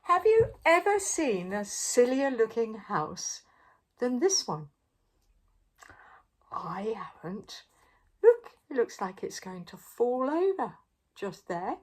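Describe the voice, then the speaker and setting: Older woman, very animated children's storyteller. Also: fairly high pitch, slow pace, pauses often. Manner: playful, expressive, chatting to the listener. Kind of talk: animated read-aloud of a picture book for young children, with chatty asides.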